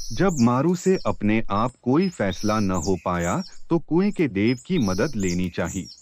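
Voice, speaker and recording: A man tells a children's story as a scripted narrator. His voice sits on the low side and moves with very lively, varied intonation. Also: a little hiss or hum.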